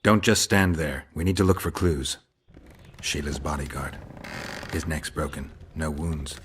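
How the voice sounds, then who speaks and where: Very deep, stoic monster hunter. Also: male voice, a little slow, a little hiss or hum. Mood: pensive. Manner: deadpan, terse. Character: stoic gruff male monster hunter, terse and world-weary. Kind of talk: video game voice acting.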